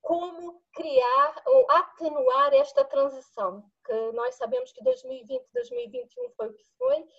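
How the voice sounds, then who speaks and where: A woman with a Latin American accent, asking a long, animated question during an online seminar and laughing between phrases. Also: extremely high pitch, moderate pace, vocal fry, few pauses, dull audio. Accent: Latin American Portuguese. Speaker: audience member asking a question. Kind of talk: webinar question.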